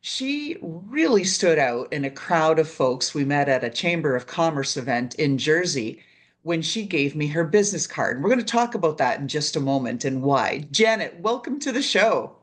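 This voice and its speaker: A woman's voice: low voice, big pitch swings, normal speed. A woman from Canada with a Canadian accent, hosting an interview show in an upbeat, chatty way. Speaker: podcast host. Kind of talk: casual interview chat.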